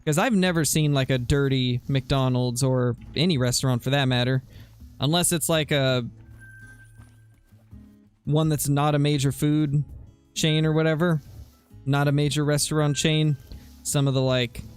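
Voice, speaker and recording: A young man streaming a game, talking in a loose, rambling way and filling gaps with "like" as he answers chat. His voice sits a bit higher than most men's. Faint music plays under him. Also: fairly even intonation, a little slow, long pauses, clean tone. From US West Coast. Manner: rambling, laid-back, heavy use of "like". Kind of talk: casual gameplay commentary, chatting with viewers.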